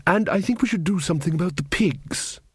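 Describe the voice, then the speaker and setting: A man's voice: sits high, brisk, slightly rough, no room sound, studio quality, voice pushed up. A man voicing an earnest, pedantic young king who stammers and lectures cheerfully. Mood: earnest, fussy. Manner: pedantic, self-satisfied, stammering.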